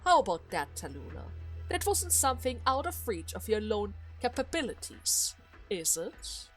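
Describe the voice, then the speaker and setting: A woman voicing a manipulative older male duke in a dramatic game story, speaking in a measured, formal and condescending way. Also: on the high side, sing-song, fairly bright tone, soft background music. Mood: cold, needling. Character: scheming older male duke, coolly manipulative adoptive father.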